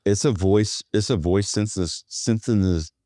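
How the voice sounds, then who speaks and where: A man with a deep, relaxed voice speaks casually as an interview guest. His delivery is plainspoken and anecdotal, and the sound is dry and close. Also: moderate pace, dry recording. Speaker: podcast guest, automation agency owner. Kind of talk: casual conversational interview talk.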